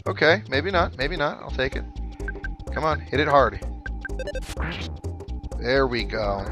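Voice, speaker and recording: Male let's player, mid-pitched, casual commentary, reasoning aloud through tactics, video game music clearly behind him. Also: animated, slow pace. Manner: muses aloud, thinking through tactics in asides.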